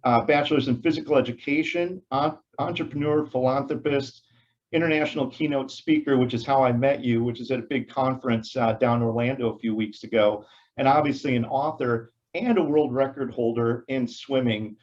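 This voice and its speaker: Friendly male host, slightly dull sound. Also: mid-range voice. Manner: friendly, halting with fillers. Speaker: interview host. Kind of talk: interview questions.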